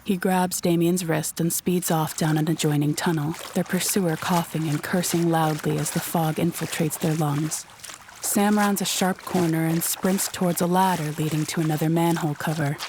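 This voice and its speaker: A woman's voice: low voice, fairly even intonation, moderate pace, noisy background. A woman narrating a scripted audio drama in a descriptive storytelling manner.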